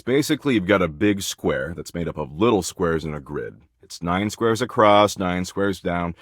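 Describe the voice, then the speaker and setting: A man's voice: low voice, no room sound, clean studio sound. A man voicing a video game character, a snide, slangy slacker embodiment of existential dread with bored condescension. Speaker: datable character in a dating simulator game. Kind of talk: scripted video game voice acting.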